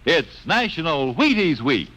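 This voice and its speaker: A man's voice: a little high, sing-song, a little slow, fairly bright tone. A man delivering a 1950s radio advertisement in a folksy, chatty, emphatic way. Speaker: commercial pitchman. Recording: dull old radio broadcast.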